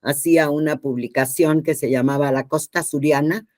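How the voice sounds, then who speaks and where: An older Mexican woman hosts a conversational interview. Her voice is notably low for a woman and recorded dry, without room echo. She talks informally, wandering into asides and questions. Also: fairly even intonation, moderate pace, darkish tone. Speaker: podcast host and interviewer.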